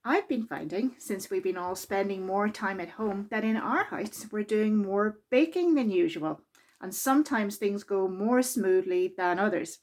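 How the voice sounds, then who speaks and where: A woman reading a children's story aloud in an expressive, deliberate way, drawing out key words for emphasis. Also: mid-range voice.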